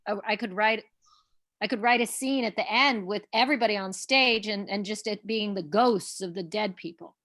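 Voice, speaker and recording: A woman's voice: mid-range voice, moderate pace, fairly bright tone, dry recording, studio quality. A woman playwright leading a casual discussion over a video call, eager and encouraging, often restarting her sentences. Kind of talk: casual online talkback.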